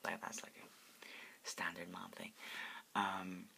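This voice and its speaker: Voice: low-pitched, completely flat delivery, takes it slowly, creaky, sharp tone. A person in their late twenties whispering a casual, profane chat from a parent's house, full of "like" fillers. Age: 20s. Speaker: video blogger answering viewer requests.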